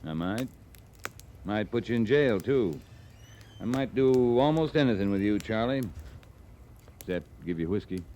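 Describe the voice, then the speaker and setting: An actor playing a terse, dry-humored cavalry captain in a 1950s radio western, with a gruff, blunt manner. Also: male voice, on the low side, expressive, a little slow, pauses often. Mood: stern teasing. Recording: old radio recording with background noise.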